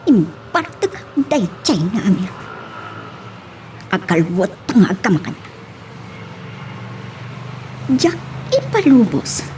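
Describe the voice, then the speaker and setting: A woman's voice: mid-range voice, darkish tone. A woman acting as the reflective first-person narrator of an Ilocano love-story drama. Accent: Ilocano Filipino. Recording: faint background noise.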